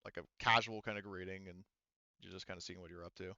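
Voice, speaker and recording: Male game master, lowish clean tone, hesitant improvised narration, slightly muffled recording. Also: fairly even intonation, sharp tone, no room sound. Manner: halting, hedging, thinking aloud.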